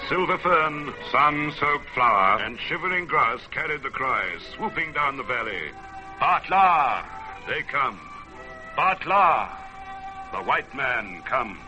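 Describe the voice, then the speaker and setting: An actor playing an ancient, watchful mountain spirit in portentous, poetic radio-drama narration. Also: male voice, mid-range voice, big pitch swings, takes it slowly, dull audio. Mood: dramatic, calling. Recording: vintage radio drama with music behind.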